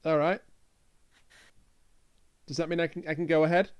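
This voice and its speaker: A man's voice: fairly high pitch, little pitch movement, moderate pace, clear, resonant tone. A man co-hosting a casual review discussion, talking earnestly and repeating himself for emphasis. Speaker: podcast co-host.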